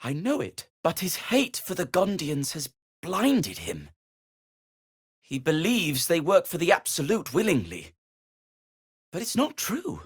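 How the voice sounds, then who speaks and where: An actor playing an earnest, fussy, melancholy gnome inventor in a video game, with a hesitant, wordy and old-fashioned polite way of talking. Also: male voice, fairly high pitch, huge pitch swings, measured pace, breathy edge, no echo, clean studio sound, full character voice. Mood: pleading, troubled. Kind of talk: video game character dialogue.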